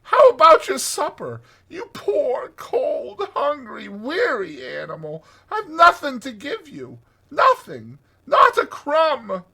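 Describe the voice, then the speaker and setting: A man's voice: very high voice, wildly swooping pitch, slow pace, gravelly, sharp tone, pitched above the performer's own voice, heavily acted. A man voicing a gloomy, kindly little mole in a storybook, with a plaintive, moaning manner. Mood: despairing.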